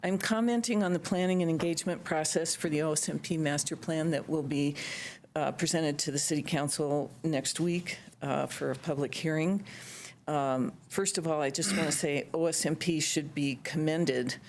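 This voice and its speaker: A woman's voice: low voice, normal speed, darkish tone, slight background noise. A woman speaking for a local bird society, giving an earnest, carefully read public comment at a board meeting. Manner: earnest, reads carefully with some fillers.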